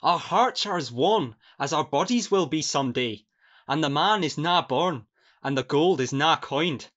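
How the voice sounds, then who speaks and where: An actor playing an earnest young Scottish peasant lad in love, sentimental and declamatory, in a farcical radio play. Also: male voice, on the high side, expressive, measured pace, fairly bright tone, lo-fi sound. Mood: noble, indignant.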